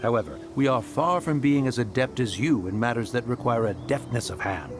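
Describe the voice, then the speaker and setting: A man's voice: mid-range voice, expressive, fairly quick, music in the background, close to an everyday voice. A man voicing a dignified ancient male spirit with formal, old-fashioned speech in a video game. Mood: wry.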